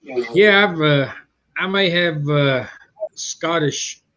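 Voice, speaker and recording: A man with a rural Southern accent hosting a casual livestream chat, speaking hesitantly with frequent pauses. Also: a little high, lively intonation, bright, forceful tone, lo-fi sound, a little hiss or hum. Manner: hesitant, lots of uh fillers, reads comments aloud.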